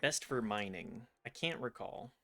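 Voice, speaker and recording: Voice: medium pitch, expressive, measured pace, fairly bright tone. Casual: streamer rambling over gameplay, bouncy up-and-down voice, game music faint behind. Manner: chatty, self-deprecating, talks to the game.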